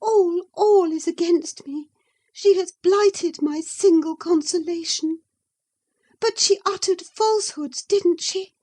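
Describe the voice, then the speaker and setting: A woman voicing an infatuated, tearful and indignant young woman in high, wounded outbursts. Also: slow, warm tone.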